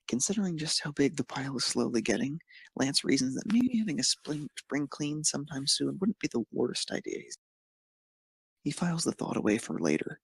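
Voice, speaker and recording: Creaky, husky male narrator. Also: medium pitch, no room sound. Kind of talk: scripted narration of fan fiction.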